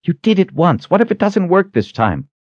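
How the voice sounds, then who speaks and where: A man's voice: sits high, very animated, normal speed, dry recording, lo-fi sound, voice pushed up. A man voicing an anxious woman in a fantasy audiobook, urgent and protesting. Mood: worried protest. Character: anxious, pleading woman companion in a fantasy tale. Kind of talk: dramatic audiobook character acting.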